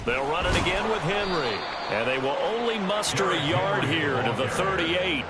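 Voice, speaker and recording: An upbeat, fairly high-voiced American football announcer for a video game. He calls the action in a polished, punchy broadcast style, with loud stadium-like noise behind him. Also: lively intonation, moderate pace. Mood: steady, informative. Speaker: play-by-play announcer.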